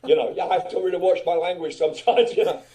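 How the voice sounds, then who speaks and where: An older man from England, telling stories as a guest in a casual interview, loud and rambling with many asides. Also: sits high, quick pace. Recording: roomy echo.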